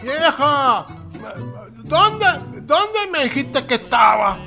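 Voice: sits high, sing-song, very slow, gravelly, sharp tone, faint music behind, full character voice. An actor voicing an elderly Chilean husband in a radio drama, a grumbling pensioner who stammers and repeats words indignantly. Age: older.

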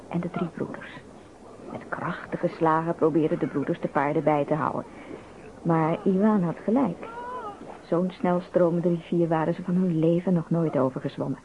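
Tinny-sounding woman narrating a story. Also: fairly low pitch. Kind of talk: scripted storytelling narration for radio play. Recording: tinny phone-like band, background noise.

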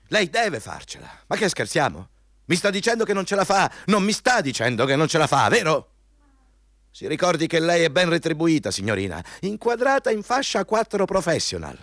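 A man's voice: a little high, huge pitch swings, normal speed, fairly bright tone, no echo, studio quality. An actor in an Italian radio play playing an overbearing, condescending male company boss who hammers out his orders pompously. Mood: indignant, scolding. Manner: pompous, insistent, hammering repeated commands.

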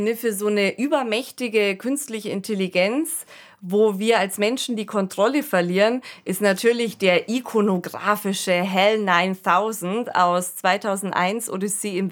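Woman podcast host, mid-pitched voice, chatting through ideas earnestly. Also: fairly bright tone. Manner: earnest, explanatory. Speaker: podcast co-host, expert commentator. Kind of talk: conversational discussion.

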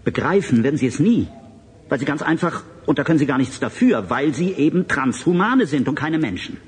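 A man's voice: on the high side, slightly rough, exaggerated voice. An actor playing a defiant, evasive human man, a doctor under questioning, in a radio drama. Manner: animated, halting when cornered. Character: defiant, evasive human male doctor facing transhuman interrogation.

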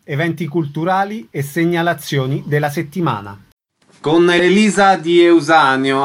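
A man's voice: a little high, fairly bright tone. A man reading scripted announcements and questions as a radio host.

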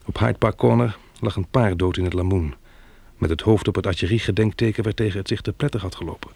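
A sober survivor in a radio play. His voice has a creaky edge and sits close and dry, and he speaks with polite, measured gravity. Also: on the low side, a little mellow, no room sound. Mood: grave, measured. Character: polite, sober sighted survivor recounting a catastrophe. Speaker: narrator and lead actor. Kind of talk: radio drama narration and dialogue.

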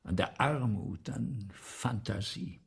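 A man's voice: lowish voice, creaky, husky, heavily acted. An actor playing a vain, embittered playwright who scorns his audience, speaking with haughty weariness in a theatrical German radio drama. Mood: scornful, weary. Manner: haughty, weary, self-pitying, deliberate.

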